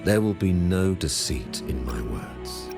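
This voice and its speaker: An actor voicing a stern, solemn religious ruler in a video game, with formal, ceremonial and pompous oratory. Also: male voice, deep voice, lively intonation, slow pace, over music. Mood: solemn, measured.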